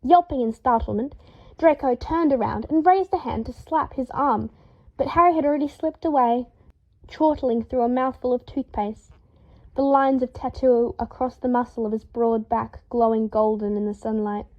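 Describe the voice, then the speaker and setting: A woman reading fiction aloud as an expressive, scripted narration, with emphatic delivery. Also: fairly high pitch, moderate pace, dull audio. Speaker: podfic narrator.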